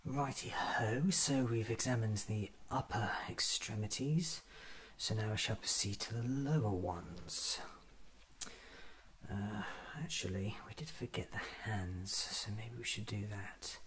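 A man's voice: fairly low pitch, slow, a bit bright, slight background noise. A man performing a whispered ASMR roleplay, murmuring methodically to himself as an eccentric doctor examining his own body.

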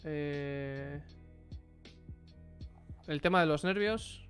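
A man's voice: on the high side, very slow, a bit bright, soft background music. A young man with a Spanish accent from Spain, giving blunt, informal live-stream commentary on a headset and answering chat questions. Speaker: streamer reviewing a player's matches.

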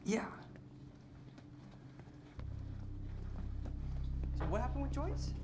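An American man in his thirties playing a sardonic, excitable control-room security operator in a thriller. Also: very high-pitched, fast. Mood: wary, casual. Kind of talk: live-action thriller drama acting. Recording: loud dramatic music, vehicle noise.

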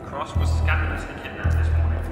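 An actor playing a sober, formal newsreader delivering an impersonal bulletin within a video game. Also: male voice, a little high, fairly even intonation, quick pace, lightly acted. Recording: very echoey, distant, music behind.

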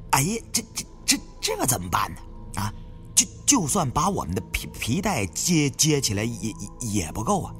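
A man's voice: medium pitch, huge pitch swings, normal speed, a bit bright, faint music behind. A man voicing a jittery young male tomb raider with a heavy stutter in an audiobook. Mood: worried.

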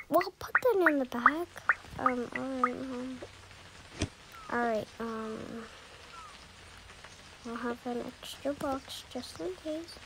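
A woman's voice: high-pitched, vocal fry, noisy background, exaggerated voice. A woman voicing a busy mother in improvised pretend play with game characters, hesitant and murmuring to herself. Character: busy mother packing up for a family move.

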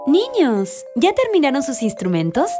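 A woman's voice: high voice, huge pitch swings, moderate pace. A woman voicing a kindly, patient giraffe schoolteacher who narrates and explains things in a children's cartoon. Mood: kindly, instructive. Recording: children's music behind the voice.

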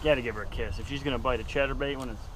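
A man's voice: medium pitch, fairly even intonation, racing. A young man talking casually while fishing outdoors, filmed on an action camera. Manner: laid-back, chatty, swears when cold. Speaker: fishing vlogger. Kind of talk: casual vlog chat. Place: outdoors on a windy dock by a canal. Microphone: action camera, held or set nearby. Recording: wind noise on outdoor camera mic.

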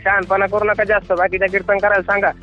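वाद घालणारा, उंच आवाजाचा ग्रामीण महाराष्ट्रीय माणूस. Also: male voice, high voice, little pitch movement, quick pace. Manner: argumentative, insistent, repetitive. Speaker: kirtankar, devotional performer. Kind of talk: heated argument on a phone call. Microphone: phone call. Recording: dull phone call recording with music.

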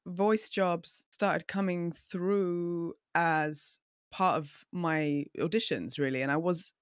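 Expressive woman, dry close mic. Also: on the low side, slow pace, clean tone, no echo. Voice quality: expressive, animated storyteller. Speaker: guest. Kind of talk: casual podcast chat. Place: home room, indoors. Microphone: studio mic on a boom arm, video call.

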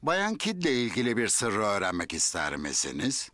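A man playing a friendly, courteous elderly gentleman with a hesitant manner in a dubbed children's TV drama. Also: on the low side, wildly swooping pitch, normal speed, no echo, heavily acted.

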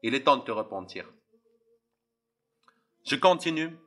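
Impassioned young man preaching, husky and tense. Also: medium pitch, expressive, a little slow, a bit bright. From Algeria. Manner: impassioned, repetitive, addresses listeners directly. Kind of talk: preaching testimony.

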